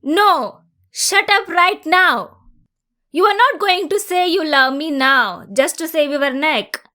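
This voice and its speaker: An unhinged, heartbroken young woman in an audio drama, her voice set high and her words drawn out slowly, as a woman acts her out. She is obsessive and volatile, swinging between hushed menace and raw outbursts at the ex she holds captive. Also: lively intonation, full character voice. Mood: frantic shouting. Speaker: voice actor. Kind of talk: audio roleplay acting.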